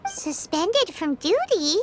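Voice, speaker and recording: A woman voicing a tiny, chatty, curious fairy-like girl companion in a video game, with a squeaky childlike voice and exclamatory manner. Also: wildly swooping pitch, takes it slowly, a bit bright, faint music behind, exaggerated voice. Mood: surprised. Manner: exclamatory, refers to self in third person.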